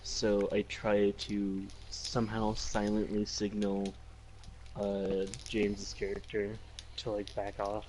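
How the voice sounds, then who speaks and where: A man's voice: fairly low pitch, monotone, slow, darkish tone. A man talking casually as a player in a tabletop role-playing game, over a noisy mic with clicking and typing.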